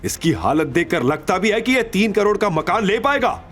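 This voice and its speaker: A man's voice: high voice, very animated, moderate pace, a bit bright, soft background music, voice pushed up. A man voicing a suspicious, officious male sales manager who accuses and threatens in an audio drama. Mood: outraged.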